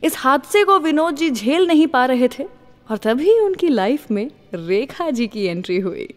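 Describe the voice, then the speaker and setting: A woman's voice: high-pitched, sing-song, unhurried, big-room sound, faint music behind. An Indian woman hosting a radio show, telling stories to listeners in a scripted style and mixing in English phrases. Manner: mixes English words and phrases into the talk.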